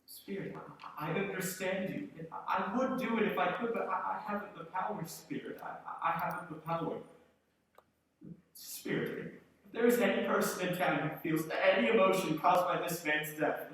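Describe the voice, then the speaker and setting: An actor in a live radio play playing a frightened, repentant old miser who pleads in a halting stammer. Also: male voice, high voice, a bit bright. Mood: humbled. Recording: distant, echoey stage with slight noise.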